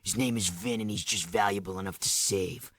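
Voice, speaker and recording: An actor playing a hardened, scornful underground resistance commander in a video game, with a low gravelly voice and a curt, commanding manner. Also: male voice, brisk, fairly bright tone, no room sound, studio quality. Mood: stern. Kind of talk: video game cutscene acting.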